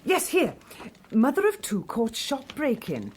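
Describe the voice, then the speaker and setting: Brisk wife, very animated voice. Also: female voice, high voice, heavily acted. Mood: matter-of-fact. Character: brisk, no-nonsense wife scolding her husband. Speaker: actress in radio play. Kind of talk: BBC radio drama acting. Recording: faint background noise.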